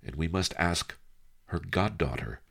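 A man voicing a calm, wily old sage who speaks in a dry, reasoning tone. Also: low-pitched, a little slow, creaky, slightly rough, exaggerated voice. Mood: wry.

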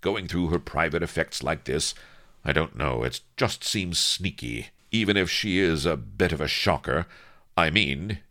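A man voicing a prim gentleman pirate captain in an audiobook, with pompous, archaic seafaring diction. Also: very deep voice, lively intonation, unhurried, full character voice. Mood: prim, uneasy.

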